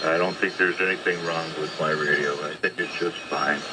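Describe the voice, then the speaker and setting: Very heavy noise surrounds a low-pitched man on a shortwave ham radio transmission. He is an operator talking off the cuff, halting and understated, with polite wording. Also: fairly even intonation. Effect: shortwave single-sideband radio transmission. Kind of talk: unscripted on-air exchange.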